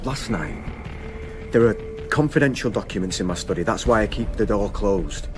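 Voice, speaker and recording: A man's voice: lowish voice, lively intonation, normal speed, music in the background, natural voice. An actor playing a guarded, suspicious husband and father in a naturalistic radio drama, speaking with restraint. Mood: suspicious, uneasy.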